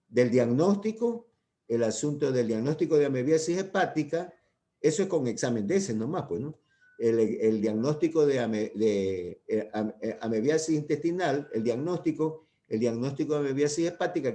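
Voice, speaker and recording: Older man lecturing, mellow and rambling. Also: mid-range voice. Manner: rambling, hesitant, full of "¿ya?" and "¿no?" tags. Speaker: university medical lecturer. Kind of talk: informal lecture to students.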